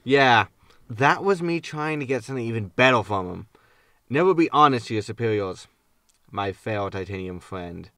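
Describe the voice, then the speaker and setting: A man's voice: mid-range voice, animated, normal speed, pushed and bright, dry recording, studio quality. A man playing a grumpy, self-excusing spaceship captain in a sci-fi comedy audio drama, sardonic and grumbling.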